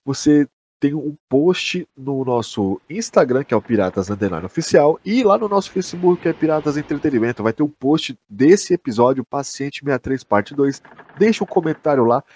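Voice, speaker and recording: A man's voice: mid-range voice, very animated, normal speed, a little mellow, slightly rough. A Brazilian man chatting casually and enthusiastically as a podcast host, slangy and emphatic, with some swearing. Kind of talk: casual chat, enthusiastic review.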